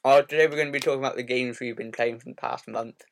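A man's voice: mid-range voice, steady tone, fast, vocal fry, slight background noise. Casual young man hosting. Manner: swears freely, stammers on restarts. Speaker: podcast host. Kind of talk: casual gaming podcast chat.

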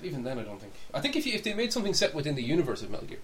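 A man's voice: on the high side, wildly swooping pitch, racing. Rambling man on a noisy recording. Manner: rambling, backtracking, mildly sweary. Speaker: podcast co-host. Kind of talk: casual opinionated chat about films and games.

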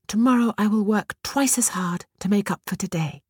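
A woman voicing a dutiful, tearful young peasant girl in a storybook, with a high, breathy, pleading voice. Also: normal speed, darkish tone, no room sound, clean studio sound, lightly acted. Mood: subdued.

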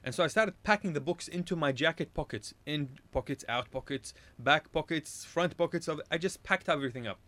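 A man's voice: fairly high pitch, fairly quick, hardly any pauses, fairly bright tone, no room sound. A man from Iraq, a radio show guest, telling a casual anecdote in a rambling way, often correcting himself and laughing at his own story.

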